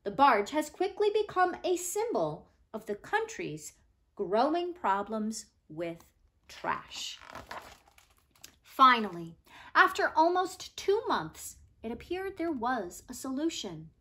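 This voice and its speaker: A woman narrating a read-aloud story expressively, with dramatic pauses and emphatic stresses. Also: high-pitched, very animated, bright, forceful tone. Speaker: reader of a children's non-fiction book.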